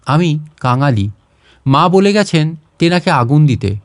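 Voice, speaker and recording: A man's voice: a little high, animated, unhurried, no echo, studio quality. Man playing a meek, tearful village boy. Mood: grieving, meek.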